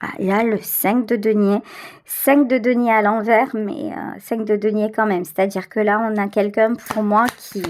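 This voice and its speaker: A woman's voice: medium pitch, little pitch movement, normal speed. A woman giving a tarot reading straight to the listener, conversational and emphatic, repeating words for stress. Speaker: tarot reader, channel host.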